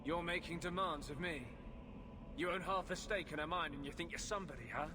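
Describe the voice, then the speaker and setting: An actor playing an arrogant, taunting criminal thug in a fantasy video game, full of contempt. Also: male voice, a little high, brisk, close to an everyday voice. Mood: scornful. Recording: noisy game ambience.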